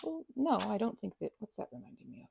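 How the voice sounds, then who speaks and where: A woman's voice: medium pitch, wildly swooping pitch, quick pace, creaky, noisy background. A woman performing a casual best-friend ASMR roleplay, chatting in a playful, scatterbrained way and rambling as she goes.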